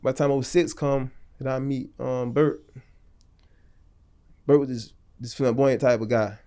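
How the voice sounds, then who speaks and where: A man in his late thirties from North Florida talks as a podcast guest at an ordinary mid pitch. His delivery is loose and rambling, full of slang and restarts. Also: steady tone, measured pace, warm tone. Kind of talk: casual storytelling interview.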